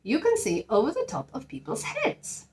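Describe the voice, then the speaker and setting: A woman voicing a kindly older gentleman in a children's storybook, courteous and patiently explaining. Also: sits high, huge pitch swings, normal speed, vocal fry, roomy sound, slight background noise, voice pushed up, heavily acted.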